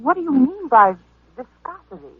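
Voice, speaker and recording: A woman's voice: high voice, big pitch swings, normal speed, narrow, tinny audio. A woman acting a chatty, curious customer in a scripted 1940s radio commercial. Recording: thin vintage radio recording.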